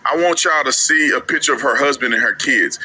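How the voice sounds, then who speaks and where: A man co-hosting a commentary show, talking in an animated, mocking way as he reacts to clips. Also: medium pitch, fairly quick, slightly rough.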